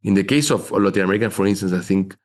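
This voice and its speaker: A middle-aged man, giving measured, explanatory interview answers with frequent filler phrases. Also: low-pitched, a little mellow. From Chile. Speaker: investment officer guest.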